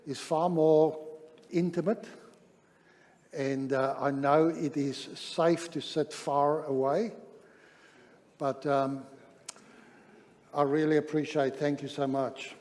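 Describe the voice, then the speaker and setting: A man from Southern Africa with a South African accent, speaking English as a third language, giving a measured, deliberate sermon-style seminar talk with pauses between phrases. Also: on the high side, a little mellow. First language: not English, which is a third language. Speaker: pastor, seminar presenter. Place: large church hall, speaking to an audience. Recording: reverberant hall, long echo.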